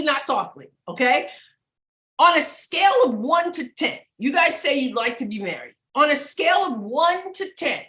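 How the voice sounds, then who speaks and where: A woman from New York hosts a talk show, leading the chat in an upbeat, direct way. Her voice is bright and a little high. The room around her rings with echo. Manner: upbeat, direct, leads the conversation. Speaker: host.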